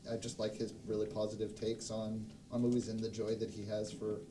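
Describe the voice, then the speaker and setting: A man's voice: monotone, fairly quick. A man chatting casually as a panelist on a group stream. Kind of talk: casual chat. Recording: distant mic, faint rumble.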